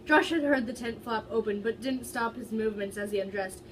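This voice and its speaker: A woman's voice: a little high, brisk. A young adult woman doing an informal, dramatic amateur story reading, stumbling over the odd word. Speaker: reader of fan fiction. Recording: distant mic with loud background noise.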